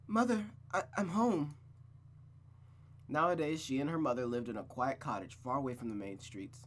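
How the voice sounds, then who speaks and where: A host reading a visual novel aloud, then breaking off into blunt, excited asides. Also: medium pitch, fast, slight background noise. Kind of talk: narration with casual reactions.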